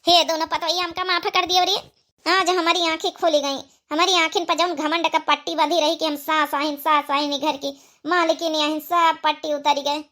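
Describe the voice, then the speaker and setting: A woman's voice: high voice, little pitch movement, normal speed, pushed and bright. A woman playing a domineering, grumbling older village mother-in-law in an Awadhi cartoon comedy, with an exclamatory manner. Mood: remorseful. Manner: scolding, exclamatory.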